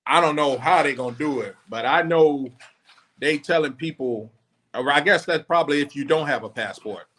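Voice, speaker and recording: A man chatting on a podcast, his voice sitting a little high for a man. He explains at length in a relaxed, wandering way, backing up and restarting as he goes. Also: normal speed. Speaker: podcast host; former law enforcement officer. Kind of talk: casual chat.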